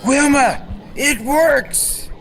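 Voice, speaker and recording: A man's voice: very high-pitched, very slow, exaggerated voice. A young man playing an earnest, proud scientist inventor in an old-time radio serial, explaining things formally. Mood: excited. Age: teenager or young adult. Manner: formal, explanatory, technical delivery. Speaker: student actor. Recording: reverberant hall, steady background noise.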